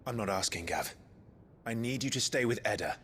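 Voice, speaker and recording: An actor playing a grave, resolute fantasy game warrior with a British accent and measured, terse delivery. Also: male voice, animated, fairly bright tone, slight background noise, natural voice. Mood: firm. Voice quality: deep, low voice. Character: grave, resolute male warrior, deep and brooding. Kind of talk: cinematic fantasy game acting.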